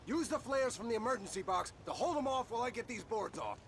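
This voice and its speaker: An actor playing a brash, cocky kidnapper in a video game, barking gruff taunts in a folksy way. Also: male voice, extremely high pitch, sing-song, quick pace, background noise, heavily acted. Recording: loud music behind the voice. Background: loud game music.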